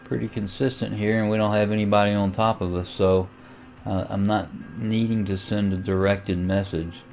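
A man giving a casual, unhurried screen tutorial, thinking aloud as he goes. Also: on the low side, little pitch movement, a little mellow, faint music behind. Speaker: amateur radio tutorial host. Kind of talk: casual screen-recorded software walkthrough.

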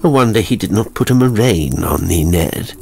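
A man's voice: sits low, expressive, normal speed, creaky, darkish tone, slightly rough, faint music behind, heavily acted. A man voicing a sardonic, debauched nobleman with a dry, mocking manner in a horror story. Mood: curious, taunting. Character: sardonic debauched nobleman, clearer-witted drinking companion.

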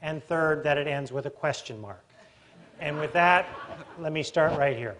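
A middle-aged man acts as moderator on an auditorium stage. His voice is slightly high for a man, and he lays out instructions in an orderly, lightly humorous way. Faint noise sits behind him. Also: normal speed, a bit bright. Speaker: forum moderator. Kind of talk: moderating audience questions.

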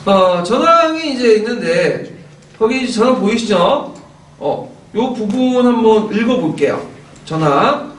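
A man in his forties gives an animated, chatty lecture in a classroom. His voice sits high and swings widely, and it comes across slightly hoarse. He is picked up from afar, with the room echoing around him. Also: measured pace, distant mic, a little hiss or hum. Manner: animated, chatty, gestures while explaining. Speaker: lecturer for teacher-exam prep. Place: classroom with whiteboard. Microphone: far camera.

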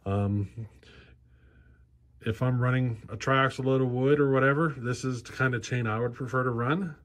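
A man's voice: medium pitch, fairly even intonation, moderate pace. A man in his forties, a chainsaw channel host, explaining things casually in a practical, plain-spoken way with frequent ums and you-knows, recorded on a close handheld camera in a cluttered workshop. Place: cluttered workshop garage, indoors.

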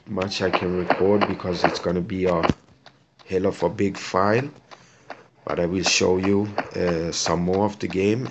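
A man from Sweden with a Swedish accent, casually commenting on a mobile game he is playing in halting, enthusiastic English full of fillers. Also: sits low, creaky, dull audio. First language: Swedish or Hungarian. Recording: loud background noise.

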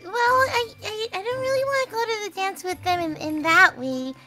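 A woman's voice: very high-pitched, moderate pace, loud music behind the voice. A woman voicing a sweet, shy teenage princess who hesitates and stammers.

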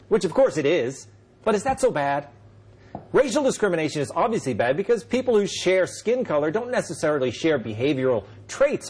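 A man's voice: sits high, darkish tone, slightly rough. A middle-aged man hosting a late-night panel show in a TV studio, reading a wry, sarcastic monologue and then leading the banter. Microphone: lapel mic.

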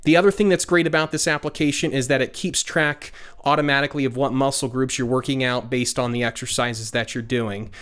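Man, 30s, tech reviewer, smooth clear voice, mid pitch, steady how-to voice-over with casual fillers, close mic. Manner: explanatory, steady, with frequent "uh" fillers.

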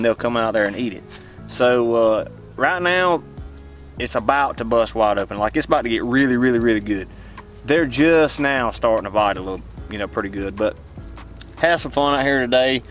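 A young man with a drawl, casually rambling about bass fishing from his boat on a lake. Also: brisk, fairly bright tone, soft background music. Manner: drawling, rambling, talks to the fish. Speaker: fishing channel host. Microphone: camera mounted on the boat.

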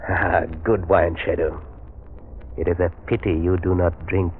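An actor playing a scheming, megalomaniac army villain in a 1930s radio drama, with a theatrical, formal and sardonic delivery. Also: male voice, deep voice, level tone, measured pace, breathy edge. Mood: gloating. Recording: muffled 1930s radio broadcast recording.

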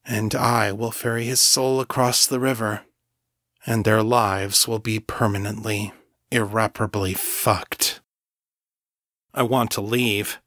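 A man's voice: medium pitch, a bit bright, no echo, studio quality. A man reading a literary short story as a scripted first-person narration.

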